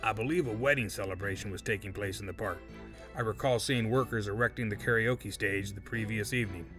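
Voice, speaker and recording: A man's voice: fairly low pitch, fairly quick, over music, lightly acted. A man playing a composed, coldly polite male witness in an audio drama, speaking formally and unruffled.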